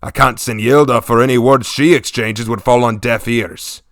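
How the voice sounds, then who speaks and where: A man voicing a stern, gruff warlord chieftain speaking to his daughters, grave and commanding. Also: medium pitch, steady tone, no echo, studio quality, lightly acted. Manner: commanding, grave, slow and weighty.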